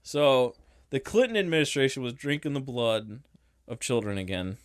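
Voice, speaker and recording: A man's voice: mid-range voice, big pitch swings, moderate pace, clear, resonant tone, no echo, clean studio sound. A young man co-hosting a casual podcast, joking around and laughing often. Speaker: podcast co-host. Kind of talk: casual chat.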